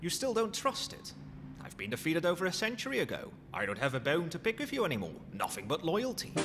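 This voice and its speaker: A man playing a courteous, cheeky undead skeleton butler in an ASMR roleplay, with a formal, theatrical manner. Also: fairly high pitch. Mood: defensive, teasing. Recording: long hall reverb, noisy ambience.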